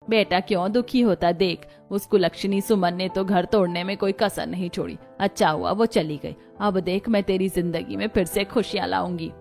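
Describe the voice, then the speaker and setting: A woman's voice: medium pitch, normal speed, creaky, few pauses, a little mellow, faint music behind, natural voice. A woman voicing a scheming, venomous mother-in-law in a dramatized family story, theatrical and manipulative. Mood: falsely soothing.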